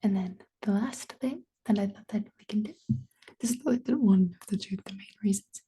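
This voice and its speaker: A woman's voice: medium pitch, moderate pace, warm tone. A young adult woman with a Canadian accent whispering casually in a rambling, apologetic ASMR style, close to the microphone. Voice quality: breathy whisper. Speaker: ASMR video creator.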